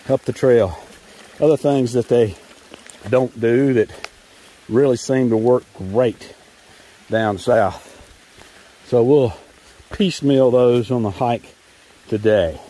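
A man talks at a very unhurried pace as he narrates a trail hike outdoors. His delivery is casual and halting, wandering from one thought to the next with a wry edge. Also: medium pitch, warm tone, rough recording. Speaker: hiking vlogger. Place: outdoors on a forest trail in rain.

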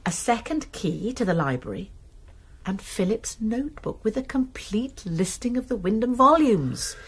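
An actress playing a college nurse turned amateur sleuth in a BBC radio drama, explaining a case briskly. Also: female voice, on the high side, very animated, slightly rough.

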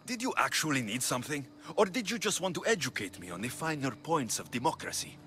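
An actor playing a blunt, skeptical Greek mercenary in video game dialogue, with a dry, challenging manner. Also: male voice, on the high side, big pitch swings, fast, sharp tone, slight background noise.

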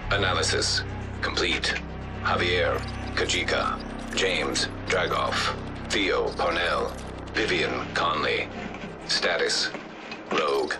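A man voicing an impassive analysis computer in a video game, giving flat, clipped system readouts. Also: a bass voice, slow, pauses often, over music, exaggerated voice. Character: impassive male surveillance analysis computer announcing results.

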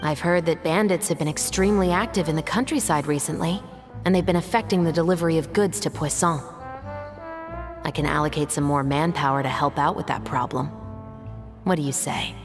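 A woman's voice: low voice, a little fast, music in the background. An actress playing a composed, businesslike young female patrol captain in a video game, formal and reserved. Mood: cool, probing. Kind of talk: video game dialogue acting.

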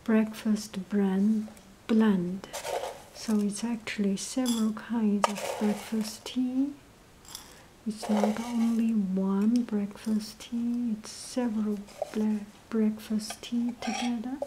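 Woman vlogger, breathy half-whisper, low energy, slow, flat delivery. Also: background noise. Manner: hesitant, many pauses and fillers. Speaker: tea vlogger. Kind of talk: casual show-and-tell narration. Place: indoors at a table, off camera.

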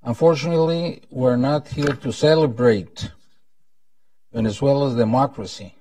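A man's voice: mid-range voice, moderate pace, dark tone, no echo. Old costa rican guy opening a conference, solemn speech, dry sound, bit far from the mic. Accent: Costa Rican Spanish. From Costa Rica. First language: Spanish. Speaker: event host, former ambassador. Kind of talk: formal prepared welcome speech. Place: indoor conference room at a lectern. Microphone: lapel mic.

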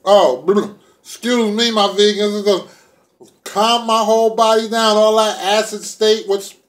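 A man's voice: sits high, fairly even intonation, a little slow. A middle-aged man vlogging about vegan food, talking to the camera in a laid-back, playful, colloquial way as he eats. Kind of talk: casual chat to camera while eating. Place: small room indoors at home. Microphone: phone or camera propped within arm's reach.

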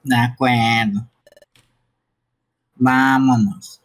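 A man's voice: medium pitch, steady tone, drawn-out words. A man voicing a cheerful, earnest creature guild master in a live amateur dub of a game.

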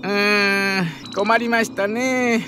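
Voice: mid-range voice, steady tone, slow, sharp tone, slight background noise. An actor playing a helpful, fussy robot uncle in a Japanese audio drama, speaking formally and courteously. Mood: patient. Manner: courteous, formal, mildly flustered. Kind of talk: Japanese anime-style audio drama acting.

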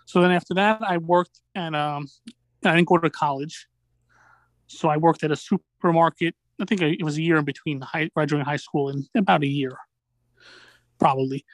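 High-voiced New York man, dry sound. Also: normal speed, no echo. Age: 30s. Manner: sociable, chatty, laughs easily. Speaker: podcast guest. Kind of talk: casual interview chat.

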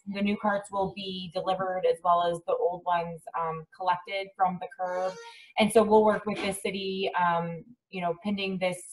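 A woman speaking as an agency staff member, explaining plans in a measured, procedural way over a remote meeting call. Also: on the low side. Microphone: phone or video call. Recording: distant-sounding remote call audio.

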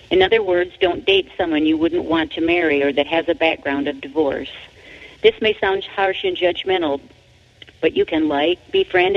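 A woman with a creaky, gravelly edge to the voice narrates a book from a script. The delivery is deliberate and emphatic, and the recording sounds dull and lo-fi. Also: on the low side. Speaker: author reading their own book. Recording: dull, lo-fi audio.

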